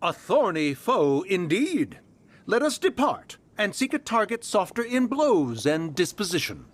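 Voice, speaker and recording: A man's voice: high voice, sing-song, unhurried, exaggerated voice. A man voicing a theatrical, impatient bandit in a video game, with pompous, flowery archaic speech. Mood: dismayed.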